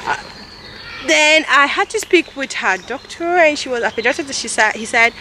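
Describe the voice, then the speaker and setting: A woman in her thirties from Nigeria with a Nigerian English accent, talking casually to camera in a halting way and often correcting herself. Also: sits high, lively intonation. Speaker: vlogger. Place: outdoors in a park. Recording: noisy outdoor camera recording.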